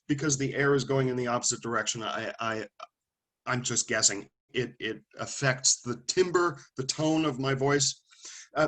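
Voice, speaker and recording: A man teaching a class in a loose, conversational way, asking questions and calling on students. His voice sits at an ordinary middle pitch, and he thinks aloud with restarts and small asides. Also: fairly bright tone. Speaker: lecturer.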